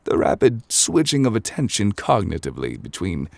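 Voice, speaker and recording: A man playing an ancient, courteous, absent-minded god in an ASMR roleplay, speaking formally in a low, gravelly voice with hesitant pauses. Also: sing-song, no room sound, exaggerated voice. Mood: sheepish, explanatory. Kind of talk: ASMR audio roleplay, improvised in-character monologue. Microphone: close, dry microphone.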